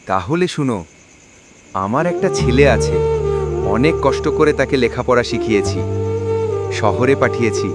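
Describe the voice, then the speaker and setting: A man's voice: medium pitch, expressive, unhurried, long pauses, lightly acted. A man voicing a lonely, plaintive old village man in a cartoon, reminiscing about his life. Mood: sorrowful. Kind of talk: cartoon dialogue. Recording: loud music under the voice.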